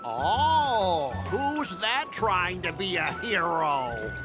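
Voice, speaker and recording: A man's voice: high voice, big pitch swings, drawn-out words, exaggerated voice. A man voicing a rude, self-important complaining customer in an anime-style game, whiny and sarcastic, with music behind. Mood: angry, mocking.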